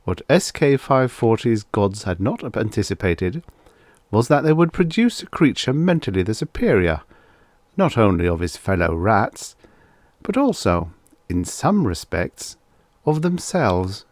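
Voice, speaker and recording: A man with a fairly low voice narrates an audiobook of old science fiction tales. The sound is very dry and close, with little room around his scripted storytelling. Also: sing-song, measured pace, darkish tone, no echo.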